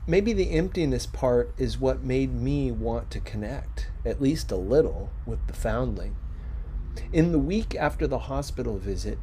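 A man reading a novel aloud as expressive scripted narration, with measured, dramatic phrasing. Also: medium pitch, husky. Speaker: audiobook-style reader. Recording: faint background noise.

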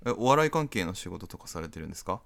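Radio-drama lead for a male actor: antisocial, apathetic guy, very low register, dry inner monologue. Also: sing-song, fast, gravelly, fairly bright tone, full character voice. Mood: annoyed, wary. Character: apathetic, antisocial male smoker narrating his reluctant encounters. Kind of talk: radio drama acting and narration.

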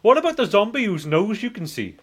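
A man in his forties hosting a casual film review podcast, talking in an animated, repetitive way and punctuating his points with "right?". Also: high-pitched, a little fast, husky. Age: 40s. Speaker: podcast host.

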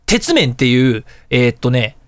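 A man hosting a radio show, telling anecdotes in a casual, chatty, animated way. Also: medium pitch, normal speed, no room sound. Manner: animated, chatty storytelling with colloquial asides.

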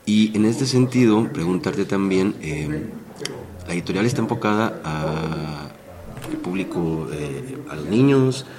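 A man with a very deep voice asks interview questions in a halting, hesitant way. A loud fair crowd fills the background. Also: animated. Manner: hesitant, many fillers and restarts. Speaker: podcast host, interviewer. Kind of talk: conversational interview. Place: book fair. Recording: busy fair crowd behind. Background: crowd chatter.

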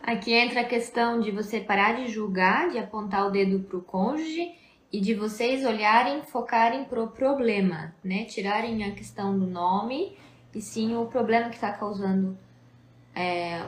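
A woman's voice: mid-range voice, moderate pace, echoey room. A woman with a Brazilian Portuguese accent co-hosting a marriage talk, speaking in a reflective, conversational way.

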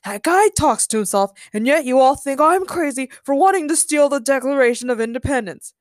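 Lady doing a crazed excitable treasure thief guy, storybook character, frantic and insistent, high pitched. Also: moderate pace, dry recording, studio quality, close to an everyday voice. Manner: frantic, emphatic.

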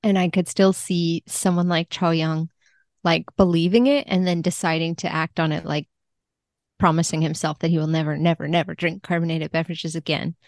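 A woman chatting casually as an interview guest, telling things in an animated way and acting out quoted thoughts. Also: sits low, moderate pace, no room sound. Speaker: podcast guest, book translator.

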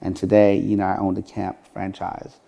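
A man's voice: deep voice, monotone, normal speed, gravelly, mellow. A middle-aged man from Iran, a podcast guest telling his life story earnestly, with many "you know" fillers. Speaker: podcast guest, gym franchise owner. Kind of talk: interview storytelling.

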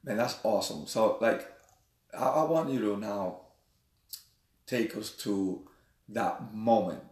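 An energetic man hosting an interview, full of encouragement and quick affirmations. His voice comes through a roomy, echoing space. He sits some distance from the microphone. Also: fairly low pitch. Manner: energetic, encouraging, peppered with "right?" and "yes". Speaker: podcast host. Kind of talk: enthusiastic interview chat. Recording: roomy sound, voice off-mic.

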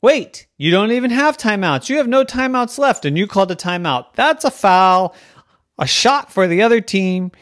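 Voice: medium pitch, animated, normal speed, fairly bright tone, pitched above the performer's own voice, lightly acted. Storyteller as an officious, firm basketball referee. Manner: firm, declarative.

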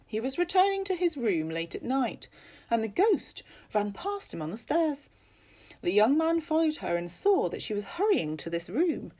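A woman's voice: fairly high pitch, big pitch swings, moderate pace, dark tone, voice pushed up. The raised, emphatic voice of a lady guide leading a ghost tour through an old hall, played by a woman. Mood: relishing. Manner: theatrical, emphatic. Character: female ghost-tour guide, theatrical teller of hauntings.

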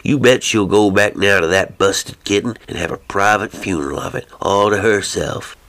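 A man voicing a folksy, jovial frontiersman in an audiobook, hearty and slangy, rough but kind-hearted. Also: on the low side, moderate pace, a bit bright, natural voice. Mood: easy, joking. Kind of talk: audiobook character voice.

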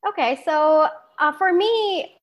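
A young woman from Singapore, a guest entrepreneur in a conversational interview, talking in a lively way with frequent laughs and restarts. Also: very high voice, slow.

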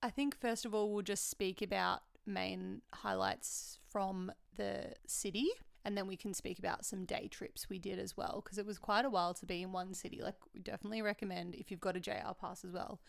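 A young Australian woman with a loud voice chats casually, leaning on "like" as she goes. Her tone is smooth and clear, with a little gravel at the ends of phrases. It sounds close and dry. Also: medium pitch, fairly even intonation, a little fast, few pauses, no echo. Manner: chatty, fills with "like" often. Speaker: podcast co-host. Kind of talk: casual travel chat.

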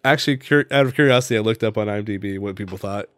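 Fast-talking chatty man podcaster. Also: fairly low pitch, a bit bright, no room sound, studio quality. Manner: chatty, rambling, laughs often. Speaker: podcast co-host. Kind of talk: casual chat reviewing a TV series.

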